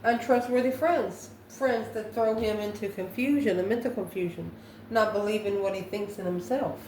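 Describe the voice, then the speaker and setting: A woman works through a spiritual tarot reading in a halting, musing manner. Her voice sits at an average pitch and comes from a little way off the mic in a clearly echoey room. She poses questions and answers them as she goes. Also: darkish tone. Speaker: tarot card reader, channel host. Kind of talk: improvised spiritual tarot reading, talking to viewers. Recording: echoey room, distant mic, faint noise.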